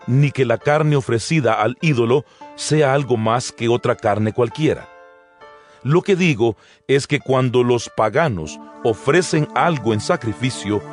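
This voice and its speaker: An actor playing an apostle earnestly exhorting believers in a dramatized, measured scripture reading. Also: male voice, mid-range voice, darkish tone, natural voice. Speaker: Bible audio narrator. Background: background music.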